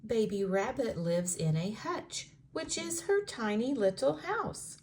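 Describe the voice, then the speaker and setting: A woman reading a children's storybook aloud in an expressive, carefully enunciated storytelling way for young listeners. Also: on the high side, slow pace, slight background noise.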